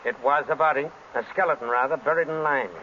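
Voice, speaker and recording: Blunt, higher-voiced police inspector. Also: male voice, sharp tone. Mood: matter-of-fact. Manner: blunt, brisk, colloquial. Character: harried, blunt male police inspector, colloquial and matter-of-fact. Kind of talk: 1940s radio mystery acting. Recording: muffled old radio recording, faint noise.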